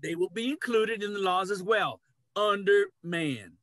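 Older guy playing a bossy village leader, high voice, dry close sound, play reading, very declamatory. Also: unhurried, fairly bright tone, no echo. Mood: commanding. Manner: declamatory, commanding. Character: domineering man who proclaims human rule over animals. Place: cluttered home room, video call. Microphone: computer mic on video call.

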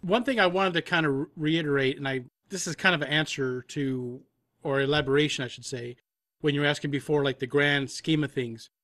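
Male podcast guest, fairly high voice, dry sound, elaborates and corrects himself in casual conversation. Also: fairly even intonation, fairly quick, a bit bright, dry recording. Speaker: audio drama producer, podcast guest. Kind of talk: casual interview chat.

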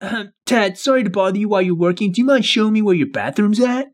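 A man's voice: sits high, animated, fast. A man playing a scheming evil twin who poses as a casual, hesitant cousin in a game roleplay. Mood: falsely polite. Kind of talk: improvised video game roleplay.

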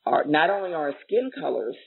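A woman's voice: sits low, expressive, a little fast, creaky, breathy edge. A woman hosting a podcast, talking conversationally with her guest. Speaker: podcast co-host. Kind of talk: conversational interviewing. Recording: narrow, phone-like sound.

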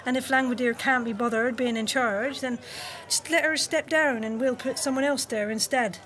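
A woman's voice: high-pitched, fairly even intonation, normal speed, fairly bright tone. A woman playing a fed-up, outspoken townswoman, indignant and forthright, in an audio drama. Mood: exasperated.

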